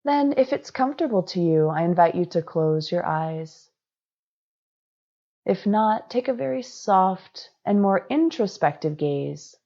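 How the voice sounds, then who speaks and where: Female meditation guide, unhurried and measured, dark mellow tone, instructive delivery. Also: fairly low pitch, expressive, dull audio.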